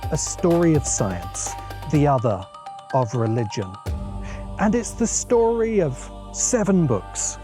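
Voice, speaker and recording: A man's voice: medium pitch, mellow. A man hosting a science fiction channel, giving a scripted video essay narration in a dramatic, musing way with dry asides.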